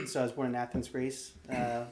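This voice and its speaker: A man being interviewed, answering with pauses, fillers and laughs, who grew up in Nevada. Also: medium pitch, echoey room. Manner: hesitant, laughing, lots of fillers. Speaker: interview guest. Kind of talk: casual conversation.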